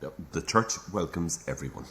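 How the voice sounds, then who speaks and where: An irish priest, pretty deep voice, chatty and a bit awkward, radio play style. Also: male voice, lively intonation, a little fast, heavy background noise. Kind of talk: naturalistic audio drama acting.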